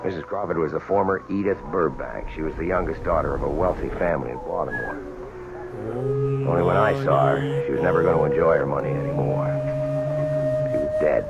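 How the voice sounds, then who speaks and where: An actor playing a dogged police lieutenant in an old radio drama, talking in a patient, measured way. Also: male voice, background noise, full character voice. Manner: patient, low-key persuasion, measured pauses. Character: dogged male police lieutenant, calm and persistent. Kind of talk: 1970s radio drama acting.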